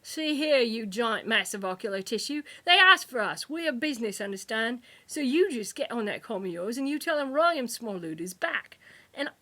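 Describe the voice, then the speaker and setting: A woman's voice: fairly high pitch, sing-song, moderate pace, pushed and bright, full character voice. A woman voicing a brash, threatening male alien kidnapper, impatient and sarcastic, in a comic sci-fi audiobook. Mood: fierce, threatening. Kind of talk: humorous sci-fi audiobook character.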